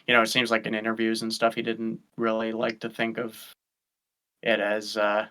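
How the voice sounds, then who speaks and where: A man's voice: on the low side, completely flat delivery, normal speed, bright, forceful tone, smooth. A man guesting on a casual chat, thinking aloud with many restarts and fillers. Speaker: guest vocalist. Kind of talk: casual chat over a stream.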